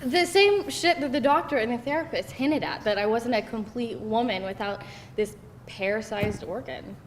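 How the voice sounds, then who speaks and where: A woman's voice: a little high, moderate pace, lightly acted. A woman acting a candid, wry young woman telling stories in a live screenplay reading. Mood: indignant, amused. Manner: animated, hesitant storytelling peppered with laughter. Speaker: actor at a screenplay table read. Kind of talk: conversational live script reading. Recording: echoey room with faint noise.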